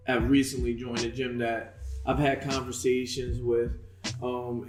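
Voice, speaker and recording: A man in his thirties hosts a relaxed podcast chat, talking loosely and laughing often. His voice sits at an ordinary middle pitch. The room rings with echo, and faint music plays behind him. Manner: laughs easily, loose and conversational. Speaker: podcast host and boxing coach. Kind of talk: casual interview chat.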